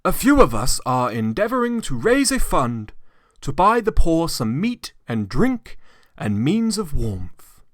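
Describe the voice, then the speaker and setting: A man's voice: on the high side, very animated, unhurried, dry recording, clean studio sound, pitched above the performer's own voice. A man voicing a portly, polite charity collector, speaking formally and earnestly in a storybook reading. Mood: earnest appeal.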